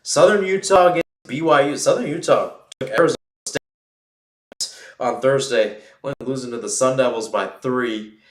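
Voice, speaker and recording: A man's voice: medium pitch, moderate pace, reverberant, a little hiss or hum. A man giving live play-by-play football commentary in an informal way, thinking aloud and correcting himself as he goes.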